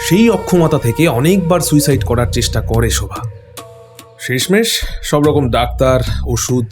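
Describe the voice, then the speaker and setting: A man's voice: mid-range voice, lively intonation, normal speed, a little mellow, soft background music, close to an everyday voice. A man playing an earnest, deferential junior investigator reporting to his superior in a horror audio drama. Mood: earnest, sombre.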